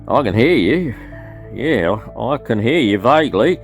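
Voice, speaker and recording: A man playing an injured, dazed railway worker in a radio play, talking in a halting, shaken way. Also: medium pitch, animated, faint music behind, voice pushed up. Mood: dazed, strained. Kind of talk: radio play disaster dialogue.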